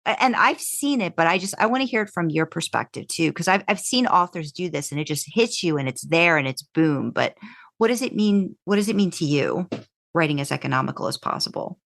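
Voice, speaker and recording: A woman with a fairly low voice interviews as a podcast host, recorded dry with little room sound. She is conversational and chatty, circling her point and rewording questions as she goes. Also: expressive, a little fast, vocal fry, a bit bright, dry recording.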